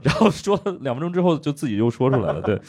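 A man's voice: medium pitch, big pitch swings, fast, darkish tone. A man hosting a podcast with casual, comedian-style banter. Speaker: podcast host, stand-up comedian.

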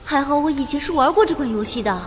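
A broke but cheeky young heroine of a fantasy game drama. Her voice is very high and cute. She is theatrical and perky, with light music behind her. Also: expressive, quick pace. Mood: wry narrating. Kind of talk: audio drama with first-person narration.

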